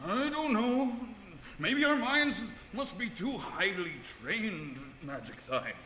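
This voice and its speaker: A man's voice: high-pitched, animated, slow pace, heavily acted. A man playing a blustering, pedantic philosopher in a live radio comedy, pompous and prone to correcting himself. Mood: flustered, pedantic. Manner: hesitant self-corrections between loud pompous demands. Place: indoor performance space with audience. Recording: echoey hall, faint noise, live.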